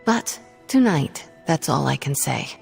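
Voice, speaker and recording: A woman's voice: sits low, very animated, measured pace, gravelly, full character voice. A woman voicing a grave, guarded young sorceress in a video game cutscene, speaking in terse, formal phrases. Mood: ominous, tense. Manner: terse, formal wording without contractions. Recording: music playing behind.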